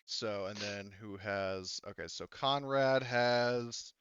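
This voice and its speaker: A man casually narrating a tabletop game as game master, halting and thinking aloud as he goes. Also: on the low side, a bit bright, clear, resonant tone, dry recording, dull audio.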